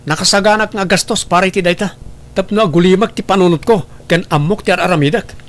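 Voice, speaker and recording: A man's voice: high voice, quick pace, natural voice. An audio drama husband, acted by a man, wounded and full of suspicion. He presses his doubts insistently and accusingly, with melodramatic weight.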